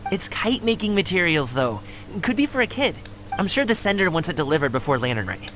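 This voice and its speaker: Cheerful young man, upbeat friendly, slightly high voice with lively pitch, game music under. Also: quick pace, a little hiss or hum. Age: young adult.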